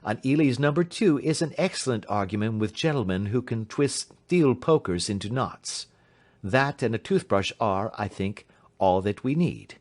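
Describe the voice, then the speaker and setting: A man voicing a cool, imperturbable gentleman detective in an audiobook, suave and precise, with quiet amusement. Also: mid-range voice, expressive, normal speed, close to an everyday voice. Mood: businesslike. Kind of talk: audiobook character acting.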